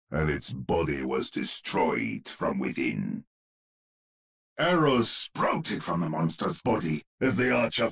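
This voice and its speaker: An actor playing a grave old storyteller, narrating a horror tale in a gravelly, husky voice with ominous, theatrical deliberation. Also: male voice, on the low side, fairly bright tone, exaggerated voice.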